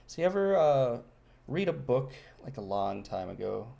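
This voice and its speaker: A man's voice: mid-range voice, very animated, normal speed, vocal fry, dark tone. A man co-hosting a podcast in casual chat, laid-back and dry, mostly giving short agreeing replies. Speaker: podcast co-host.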